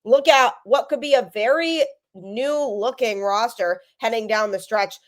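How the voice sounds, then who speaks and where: A young woman with a slightly high voice runs her sports podcast solo. She sounds stuffy from a cold but keeps her delivery energetic and emphatic. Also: measured pace. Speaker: podcast host.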